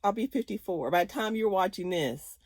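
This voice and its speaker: A woman's voice: medium pitch, lively intonation, moderate pace, a little mellow, no room sound. Woman, fifties, chatty vlogger, laughs a lot, dry close recording. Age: 50s. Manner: chatty and rambling, laughs often. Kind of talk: casual chat to viewers.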